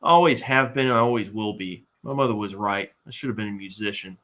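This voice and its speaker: A man's voice: on the low side, quick pace, fairly bright tone, lightly acted. A man playing a blustering, self-doubting, earnest warrior sidekick in a live comedic table read of a TV script. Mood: regretful, ashamed.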